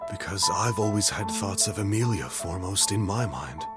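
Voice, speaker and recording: A man playing a gentle, pensive dreamer in a video game, thoughtful, formal and measured. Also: lowish voice, expressive, over loud music, lightly acted. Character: gentle, pensive, melancholy dreamer, courteous and reflective.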